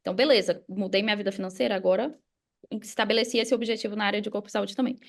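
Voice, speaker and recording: A woman's voice: medium pitch, fairly even intonation, very fast, vocal fry. A Brazilian woman speaking as a podcast guest and coach, explaining things in a casual conversational way. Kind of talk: casual explanatory interview talk.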